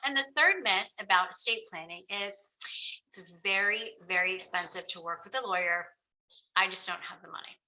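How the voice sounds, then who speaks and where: A woman's voice: mid-range voice, moderate pace, bright, forceful tone, slight background noise, rough recording. A woman from Florida, an estate planning lawyer earnestly explaining a webinar with frequent ums. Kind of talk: webinar presentation.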